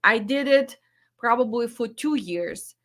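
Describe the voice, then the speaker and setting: A woman's voice: fairly high pitch, expressive, unhurried, fairly bright tone. A woman from Ukraine with a Ukrainian accent, a trucking dispatch trainer speaking directly and firmly as she promotes her webinars. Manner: direct, firm and blunt.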